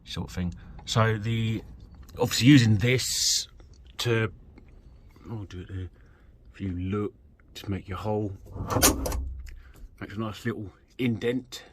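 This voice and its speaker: A man's voice: mid-range voice, fairly bright tone. A British man giving a casual, self-deprecating DIY walkthrough while working, rambling informally into a handheld camera. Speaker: vlogger, amateur vehicle DIYer. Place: in and around an off-road vehicle outdoors. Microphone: handheld phone or camera mic. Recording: noisy handheld recording with vehicle ambience.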